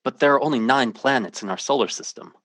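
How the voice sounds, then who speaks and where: An actor playing a bewildered, logical mathematics professor in an old-time radio drama, with a dramatic, urgent delivery. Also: male voice, mid-range voice, fast talker, fairly bright tone, dry recording, close to an everyday voice. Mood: incredulous.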